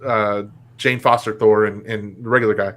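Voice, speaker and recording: A man's voice: fairly low pitch, fairly even intonation, normal speed, a bit bright. A man hosting a live web show, chatting casually with frequent restarts. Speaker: host. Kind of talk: casual chat.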